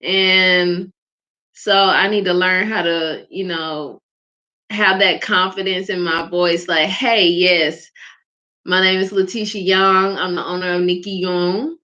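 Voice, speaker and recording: Woman, soft small voice, chatty and laughing, low-ish pitch, dull lo-fi recording. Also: steady tone, takes it slowly. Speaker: host of a live faith vlog. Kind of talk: casual spoken reflection. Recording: dull lo-fi sound.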